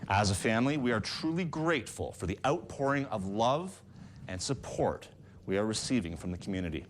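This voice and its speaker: A man's voice: on the low side, animated, brisk. Male news anchor reading, noisy recording. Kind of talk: reading a written tribute. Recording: hiss and ambient room noise.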